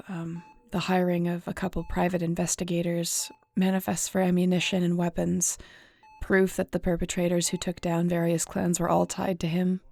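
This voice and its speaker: A woman's voice: on the low side, gravelly, mellow. A woman acting in an audio roleplay as a weary, guilt-ridden shifter investigator, speaking in a somber, conversational, confiding way.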